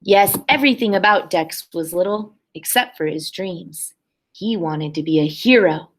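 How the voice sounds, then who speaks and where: A woman's voice: lowish voice, normal speed. A woman kindergarten teacher reading a picture book aloud expressively for young children, with simple explanatory asides.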